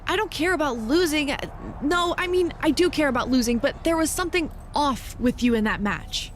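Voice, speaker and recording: A woman's voice: high-pitched, normal speed, a little hiss or hum, lightly acted. A woman playing a feisty, suspicious young female wrestler in a video game cutscene, blunt and indignant.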